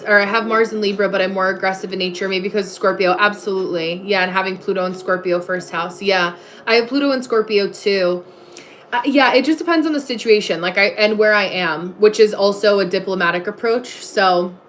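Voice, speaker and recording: A woman's voice: medium pitch, fairly bright tone. A woman hosting a livestream in a casual, chatty way, replying to comments and breaking off to greet viewers. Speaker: livestream host. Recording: music playing behind the voice. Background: music.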